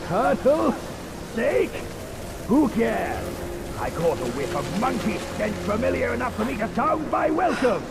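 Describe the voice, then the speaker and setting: High, creaky, mocking demon admiral. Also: male voice, big pitch swings, a little slow, loud music behind the voice, heavily acted. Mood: scornful. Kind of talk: video game cutscene acting.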